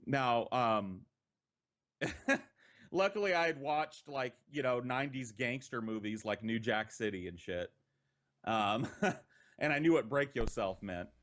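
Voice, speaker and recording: An American man tells a story in a casual podcast style, with a middle-pitched voice. He talks conversationally, swears freely and leaves dramatic pauses between short phrases. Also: fairly bright tone. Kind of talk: casual anecdote telling.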